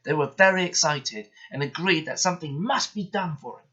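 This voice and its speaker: A man reading a children's bedtime story aloud in an expressive, steady manner. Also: a little high, fairly quick, slightly rough, highs cut off.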